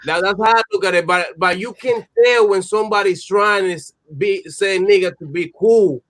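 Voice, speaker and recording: A man's voice: high-pitched, moderate pace, fairly bright tone. Laid-back man dropping slang. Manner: laid-back slang, "you know what I mean". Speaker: guest, musician. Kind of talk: casual roundtable chat.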